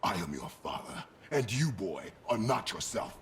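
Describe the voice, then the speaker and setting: An actor playing a stern, battle-hardened warrior god and father in a video game, speaking in terse, commanding, formal phrases with a deep gravelly voice. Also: very animated, normal speed, slight background noise. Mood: stern anger.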